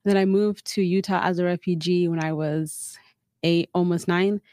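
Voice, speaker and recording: A woman's voice: lowish voice, moderate pace, no echo. A young woman from South Sudan, a podcast guest, talking casually and telling her life story with many fillers. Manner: relaxed, storytelling, frequent "like" fillers. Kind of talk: casual interview chat.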